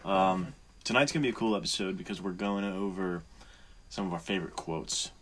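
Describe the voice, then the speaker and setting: A man's voice: sits low, brisk, a bit bright. A man co-hosting a podcast, chatting informally and earnestly like an appreciative buddy. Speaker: podcast co-host. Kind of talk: casual podcast chat. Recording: faint background noise.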